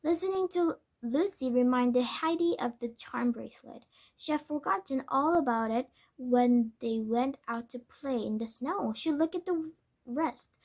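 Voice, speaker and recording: A woman reading a children's storybook aloud as narration, with halting delivery and corrected stumbles. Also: high voice, a little mellow. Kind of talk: scripted children's book narration.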